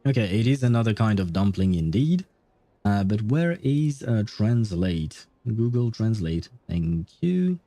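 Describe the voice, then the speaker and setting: A man's voice: fairly low pitch, sing-song, measured pace, dark tone, dry recording. A man livestreaming a game, chatting casually in a hesitant, rambling way with chuckles and casual swearing.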